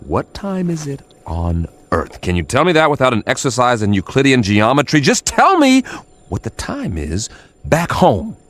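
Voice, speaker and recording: A man playing an irritable, weary space traveller in an audio drama, gravelly and sardonic, with dramatic emphasis. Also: medium pitch, huge pitch swings, normal speed. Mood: exasperated. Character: weary, irritable male space traveller confined aboard ship. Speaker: lead actor in an audio drama. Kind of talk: radio drama dialogue and first-person narration.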